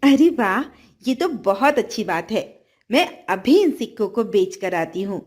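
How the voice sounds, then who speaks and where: A greedy stepmother from a children's tale gives insistent orders , acted out by a woman storyteller. The voice is animated and measured in pace, bossy and demanding throughout. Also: mid-range voice, pitched below the performer's own voice.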